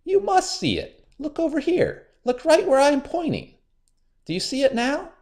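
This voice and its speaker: A sly storybook trickster, acted out by a man, speaks far up in a high register. The delivery swoops about with exaggerated, wheedling insistence, like a schemer pushing someone along. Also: huge pitch swings, moderate pace, darkish tone, full character voice. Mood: insistent, irritated. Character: sly, lazy trickster spider, falsely friendly, easily irritated.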